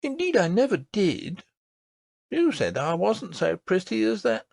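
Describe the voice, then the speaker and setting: A man's voice: high voice, big pitch swings, measured pace, gravelly, darkish tone, no room sound, pitched above the performer's own voice, heavily acted. A man doing a cross, fussy old nurse. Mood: vexed. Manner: cross, scolding tone. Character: fussy, easily vexed woman nurse.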